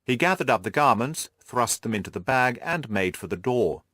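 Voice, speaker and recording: A man's voice: medium pitch, big pitch swings, moderate pace, a bit bright, no echo. A man reading scripted literary narration as an audiobook narrator.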